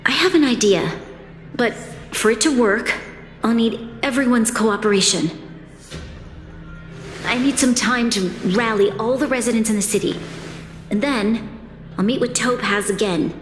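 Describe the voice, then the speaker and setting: A woman's voice: a little high, measured pace, long pauses, natural voice. A woman playing an earnest, resolute young ruler in a video game, formal, dignified and sincere. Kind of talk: video game cutscene acting. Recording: echoey hall, music behind.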